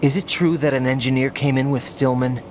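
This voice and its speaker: An actor playing a young rookie soldier in a video game, earnest, questioning and unsure of himself. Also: male voice, mid-range voice, fairly even intonation, a little fast, slight background noise, natural voice. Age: young adult.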